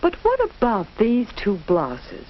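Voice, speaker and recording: Animated woman narrator, vintage film sound. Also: fairly high pitch, slow. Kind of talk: scripted 1940s educational film narration. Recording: dull, noisy 1940s film soundtrack.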